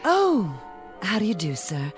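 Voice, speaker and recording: An actress playing a mysterious travel agent in an audio drama, courteous, coaxing and knowing. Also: female voice, medium pitch, wildly swooping pitch, a little slow, soft background music. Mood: smoothly inviting. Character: suave, mysterious female travel agent, sly tempter.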